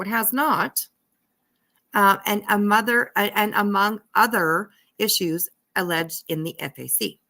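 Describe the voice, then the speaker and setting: A woman's voice: medium pitch, pushed and bright. A woman commenting casually and reading documents aloud, irreverent and giggly in manner. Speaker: livestream host.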